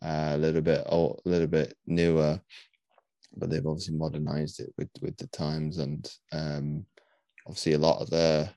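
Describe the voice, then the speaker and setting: A man's voice: extremely low pitch, completely flat delivery, normal speed, warm tone, no room sound. A man hosting a podcast interview, talking casually and rambling, often searching for words and restarting. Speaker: podcast host.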